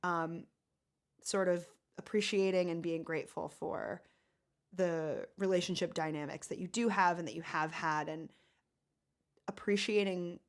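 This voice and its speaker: Woman, deep voice, podcast co-host, earnest, encouraging, laughs easily. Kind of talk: casual advice chat.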